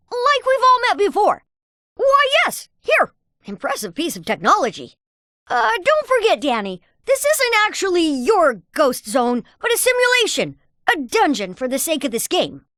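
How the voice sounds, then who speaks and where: High-pitched, brainy cartoon boy inventor. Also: fairly bright tone, dry recording, clean studio sound, full character voice. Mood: eager, confident. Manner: precocious, wordy scientific phrasing. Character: brainy, upbeat boy inventor, earnest and confident. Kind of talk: animated video game voice lines.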